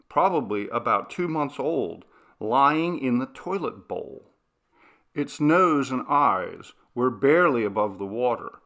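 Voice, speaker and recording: Male audiobook narrator for horror fiction, bright forceful tone with gravelly creak, expressive and deliberate. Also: mid-range voice. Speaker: narrator reading a horror short story.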